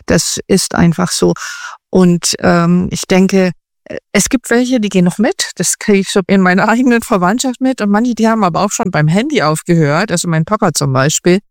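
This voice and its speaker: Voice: medium pitch, normal speed, no echo, clean studio sound. An interview guest answering in a chatty way, thinking aloud with many fillers and restarts. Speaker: guest expert on AI.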